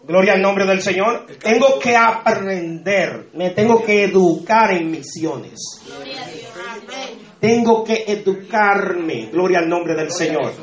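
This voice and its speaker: A man with a Dominican Spanish accent, a pastor preaching an exuberant sermon with shouts of praise and laughter. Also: high-pitched, animated, slow pace, lo-fi sound. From Dominican Republic. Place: church hall. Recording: echoey church with congregation.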